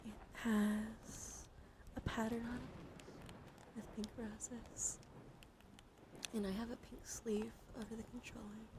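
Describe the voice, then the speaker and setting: Softly whispering woman doing ASMR. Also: fairly high pitch, little pitch movement. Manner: whispering and soft-spoken, deliberate, repeats phrases gently. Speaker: livestream ASMR host. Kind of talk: ASMR, slow soft talk to live chat. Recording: clearly audible background noise.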